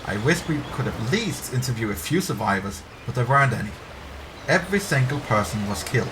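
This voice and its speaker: A man's voice: medium pitch, expressive, fairly quick, close to an everyday voice. A man voicing a talkative, uneasy young woman archaeologist in a story read aloud. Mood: regretful. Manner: talkative, apologetic, hushed confiding. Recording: clear background ambience noise.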